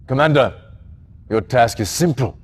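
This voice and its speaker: Authoritative commanding officer. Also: male voice, mid-range voice, expressive, measured pace, breathy edge. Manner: authoritative, briefing. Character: commanding Allied male officer giving orders. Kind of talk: video game cutscene acting.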